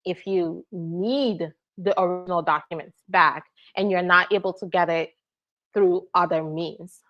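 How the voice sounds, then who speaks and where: A woman's voice: on the low side, steady tone, unhurried. Nigerian-born woman attorney, muffled audio. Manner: explanatory, frequent "right?" and "all right?" check-ins. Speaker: immigration attorney. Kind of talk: live Q&A answering viewer questions.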